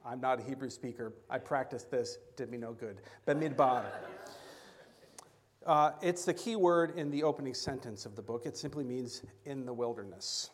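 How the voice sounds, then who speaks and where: Halting man preaching a sermon. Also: medium pitch. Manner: halting, repeats words, self-deprecating asides. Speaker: guest preacher.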